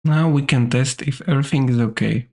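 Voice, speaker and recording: A man's voice: medium pitch, brisk, mellow. Man narrating step-by-step repair instructions.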